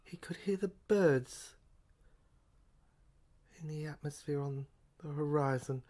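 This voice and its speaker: Female narrator, lower register, for literary audio drama; theatrical, halting reading with deliberate repetition. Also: mellow. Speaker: narrator and reader of an audio play.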